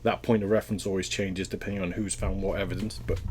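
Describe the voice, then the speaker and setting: A man with a Welsh accent and a fairly low voice, speaking in a loose, thoughtful way. He wanders through his answers and restarts his sentences often, the way people do in a casual interview. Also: level tone, fast, a little mellow, slight background noise. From Wales.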